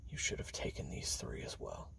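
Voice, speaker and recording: A man playing a weary, grim assassin who records his memoirs as a slow, halting confessional monologue in a gravelly, breathy half-whisper. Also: slight background noise, natural voice. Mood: solemn, bitter. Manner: slow, halting, hushed, with long pauses.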